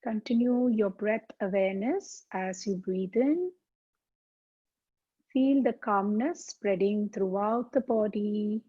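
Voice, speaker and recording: Woman doing guided relaxation, really slow and calm, soothing pauses, kinda dull lo-fi audio. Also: mid-range voice, breathy edge. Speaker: yoga teacher. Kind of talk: guided meditation, slow instruction. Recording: dull, muffled lo-fi sound.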